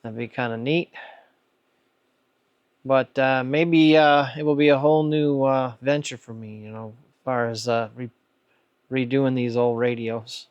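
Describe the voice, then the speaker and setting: A man's voice: mid-range voice, fairly even intonation, a little slow, smooth, dry recording, muffled recording. A man in his fifties chatting casually and unscripted as a hobby video host, in an easygoing, rambling, folksy way. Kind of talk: casual vlog chat, unscripted.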